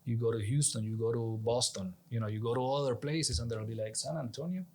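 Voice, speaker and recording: A man with a Colombian accent and Spanish as his first language speaks at a middling pitch. He explains things conversationally as a guest, earnest and persuasive, often checking in with the listener. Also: steady tone, brisk, mellow. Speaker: interview guest, executive director.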